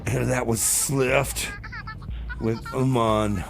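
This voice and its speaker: A man's voice: medium pitch, fairly even intonation, drawn-out words, gravelly. A man hosting a community radio music show in a casual, informal way, with bits of comic banter. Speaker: radio host. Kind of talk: music show presenting with improvised comedy banter.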